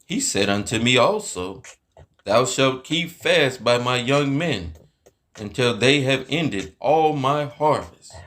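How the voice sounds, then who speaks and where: A man's voice: medium pitch, lively intonation, slow pace, a bit bright. A man voicing a humble, obedient young widowed handmaid with a deferential manner in a dramatized scripture story.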